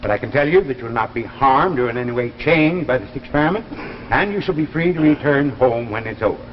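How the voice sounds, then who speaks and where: An actor playing an assured, mysterious government scientist, speaking formally and with measured authority in 1950s sci-fi drama style. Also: male voice, mid-range voice, expressive, natural voice. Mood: assured, persuasive. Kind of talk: 1950s science fiction anthology acting. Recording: muffled, noisy, reverberant 1950s broadcast.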